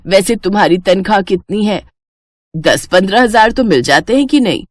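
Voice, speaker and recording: A woman's voice: mid-range voice, expressive, normal speed, no echo, lightly acted. A woman voicing a proud older Indian mother-in-law, boastful and probing, in a moral story. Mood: boastful, prying. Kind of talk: animated Hindi moral story dialogue.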